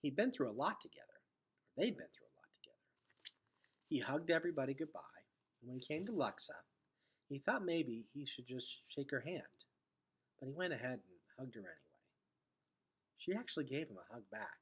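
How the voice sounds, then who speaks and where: A man's voice: medium pitch, big pitch swings, moderate pace, long pauses, breathy edge. A man hosting a read-aloud and narrating a storybook expressively.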